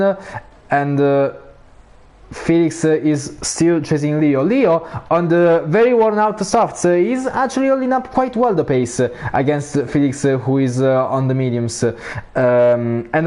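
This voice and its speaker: A man with an Italian accent giving live commentary on an online racing league, full of "uh" fillers, his voice rising when cars fight for position. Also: darkish tone. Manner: frequent "uh" fillers, rises in pitch during battles. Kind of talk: live esports race commentary.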